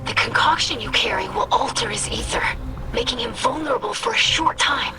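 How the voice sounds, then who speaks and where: Earnest young alien girl, high lively voice, game cutscene w loud music. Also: fairly quick, poor audio. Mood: earnest, curious. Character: earnest young alien daughter, gentle, devoted scholar. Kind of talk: dramatic video game acting. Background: loud dramatic music.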